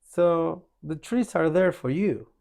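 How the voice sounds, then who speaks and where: Casting: male podcast guest, Argentine Spanish accent, higher-set gravelly voice, casual and emphatic, circles back over his phrases. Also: slow, a little mellow. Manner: emphatic, repetitive, casual swearing. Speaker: podcast guest, author and shaman. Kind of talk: casual conversational storytelling.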